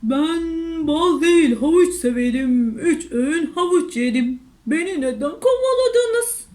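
A little carrot-loving storybook rabbit played by a woman, with a very high, unhurried voice. It sounds indignant and bewildered, protesting in a simple, childlike way. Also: lively intonation, darkish tone.

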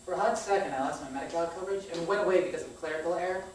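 A woman's voice: lowish voice, very fast, darkish tone. A woman in her thirties reading a candid, emphatic personal monologue on a stage. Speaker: spoken-word storyteller on stage. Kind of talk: personal monologue read aloud to an audience. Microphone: far camera microphone. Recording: echoey theater, distant mic, faint noise.

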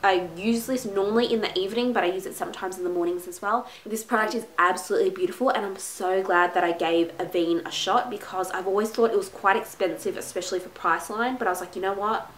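A woman's voice: medium pitch, fairly even intonation, fast talker, hardly any pauses. A young woman in her twenties, congested from a cold, chatting and gushing through a casual beauty product review in a small room at home. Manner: gushing, chatty, lots of "like" and "my goodness". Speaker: beauty vlogger. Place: small room at home, plain wall behind. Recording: echoey room with faint hiss.

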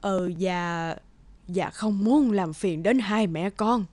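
An actress playing a humble old beggar woman in an animated folk tale, speaking slowly and haltingly. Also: medium pitch, dry recording, lightly acted. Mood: grateful, humble. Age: older. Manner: slow, pleading, halting. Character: frail, humble old beggar woman, secretly wise spirit.

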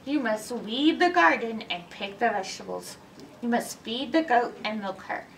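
A woman voicing a firm old village witch grandmother in a storybook, giving deliberate instructions. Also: on the high side, gravelly, a bit bright. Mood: firm, instructing. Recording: slightly distant mic, background noise.

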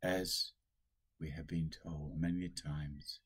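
An older man, a priest, giving a solemn sermon at a slow, deliberate pace, recorded on a webcam in a small room at home. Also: rumbling low, fairly even intonation, fairly bright tone, slightly rough.

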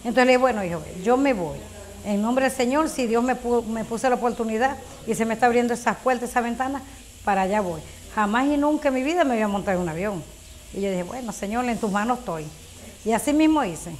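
A woman's voice: on the high side, quick pace. A woman in her fifties from Venezuela, telling her story in a documentary interview, hesitant with many fillers. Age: 50s.

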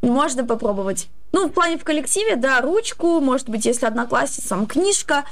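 A young woman in her twenties talks with a high voice. She explains her advice casually, with a hesitant, halting delivery. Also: lively intonation. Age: 20s. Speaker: streamer giving advice.

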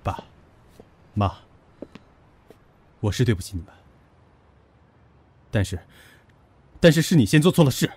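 An actor playing a passionate, boyish young man in an audio drama, with a husky, insistent delivery. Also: mid-range voice, sing-song, unhurried, long pauses, darkish tone. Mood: hurt, accusing. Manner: emotional, insistent. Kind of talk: Chinese audio drama acting.

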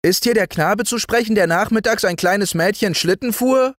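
A man reading a story aloud and voicing an earnest, eager young boy in a high boyish voice. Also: normal speed, fairly bright tone, no room sound, clean studio sound.

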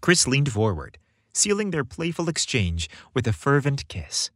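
A man's voice: mid-range voice, very animated, unhurried, fairly bright tone, no room sound, studio quality. A man reading a romantic story aloud as a scripted narration.

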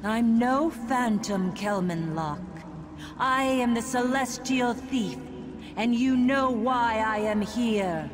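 A ghostly celestial thief from a fantasy game, solemn and insistent. She speaks slowly and portentously, pitched a little high. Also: noisy background. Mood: solemn, firm. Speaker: game character actor. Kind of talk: video game dialogue acting.

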